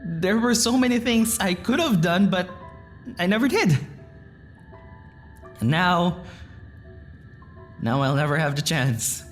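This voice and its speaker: Low, slow, regretful woman. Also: expressive, faint music behind. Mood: self-reproachful. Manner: halting, emotional, sighing pauses. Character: regretful woman, tender but angry, grieving lost time. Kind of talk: audio drama acting.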